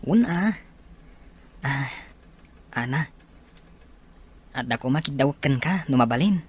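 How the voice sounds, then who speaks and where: A young male student speaks earnestly in an Ilocano accent, played by a man. He has a gently persuasive manner suited to an audio drama. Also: medium pitch, animated, unhurried, breathy edge. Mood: shy, hopeful. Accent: Ilocano Filipino.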